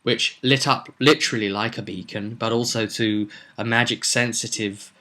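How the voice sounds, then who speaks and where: A man's voice: medium pitch, fairly even intonation, normal speed, bright, forceful tone. A man giving an informal spoken recap as game master of a tabletop roleplay, explaining events with emphasis like a storyteller.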